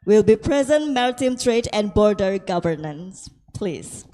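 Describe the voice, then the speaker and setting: A woman moderating a conference, introducing speakers formally with a careful, halting delivery. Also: medium pitch, animated, dark tone, long reverb tail. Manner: slow, careful, halting announcing.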